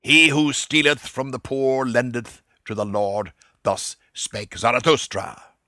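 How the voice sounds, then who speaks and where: A man voicing a boisterous, mocking young man who speaks loudly and theatrically. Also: unhurried, dry recording, clean studio sound. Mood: mock-solemn. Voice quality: loud, high, mock-solemn.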